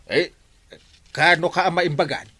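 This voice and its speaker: A man's voice: on the high side, steady tone, normal speed, background noise, natural voice. A man with an Ilocano accent playing a grumbling, put-upon village captain and husband in a radio comedy drama. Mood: exasperated.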